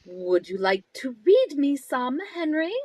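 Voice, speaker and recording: A woman's voice: high-pitched, sing-song, unhurried, a little mellow, no room sound. A woman playing a cold, cutting, condescending middle-aged wife in a live radio drama reading. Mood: suspicious. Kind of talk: live reading of an old-time radio script.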